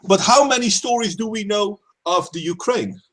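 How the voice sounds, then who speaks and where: A man's voice: high-pitched, normal speed. A man hosting a casual stream chat, talking politics emphatically, swearing and repeating words as he starts sentences. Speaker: stream host.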